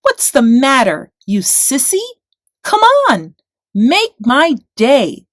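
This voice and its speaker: A small, fearless shepherd boy, played by a woman, high and very animated. He is bold and defiant, declaiming his rhyming storybook lines. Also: takes it slowly, no echo, clean studio sound, heavily acted. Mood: defiant, shouting. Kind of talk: rhyming children's storybook read-aloud.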